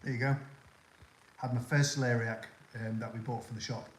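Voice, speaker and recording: Older man with soft northwest English accent. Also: mid-range voice, fairly even intonation, moderate pace, echoey room, slight background noise, low-quality recording. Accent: toned-down Northwest English (Scouse). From Liverpool area, England. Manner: rambling and chatty with many ums and restarts. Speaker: livestream host. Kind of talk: casual live chat, answering viewer questions.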